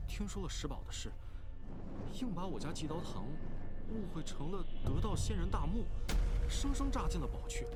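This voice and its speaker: A man playing a timid, evasive young clan leader in a Chinese animated drama, speaking in a hesitant, pleading, flustered way. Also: on the high side. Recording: very loud background music.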